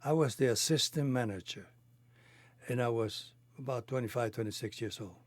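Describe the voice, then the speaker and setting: A man in his eighties from Cuba, a native Spanish speaker with a Cuban accent, recounting his life in halting, non-native phrasing. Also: medium pitch, no room sound. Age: 80s. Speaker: interviewee telling his life story. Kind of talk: reminiscing conversation.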